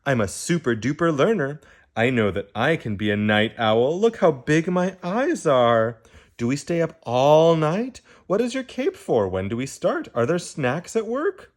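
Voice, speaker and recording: Chirpy eager little bird gal, some guy putting it on, squeaky high and bouncy, questions nonstop. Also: big pitch swings. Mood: excited. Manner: chirpy, rapid-fire questions. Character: eager chatty young female bird.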